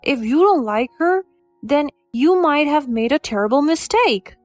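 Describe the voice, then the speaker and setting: A woman's voice: medium pitch, measured pace, no room sound, faint music behind, lightly acted. A woman voicing a timid young husband who is a mama's boy, hesitant and defensive.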